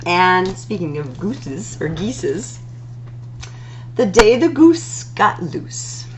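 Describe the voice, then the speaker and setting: A woman reading rhyming picture books aloud as a storytime host, in an expressive, singsong delivery with drawn-out words. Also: on the low side, slight background noise, low-quality recording.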